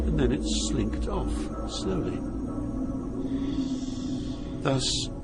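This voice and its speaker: A sorrowful poet, played by a man, narrates a dark epic in a mid-range voice. The telling is solemn, measured and dramatic, set over clear background music. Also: medium pitch. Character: sorrowful male poet narrating his journey through Hell.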